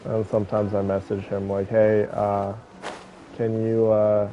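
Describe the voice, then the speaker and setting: A man chatting casually and haltingly with viewers as he streams a game, on slightly muffled audio. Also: lowish voice, monotone, noisy background. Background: faint game music.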